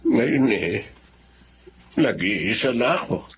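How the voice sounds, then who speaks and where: Slow, remorseful old Filipino grandfather. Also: mid-range voice, animated. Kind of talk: Filipino radio drama acting. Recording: dull lo-fi, clearly noisy recording.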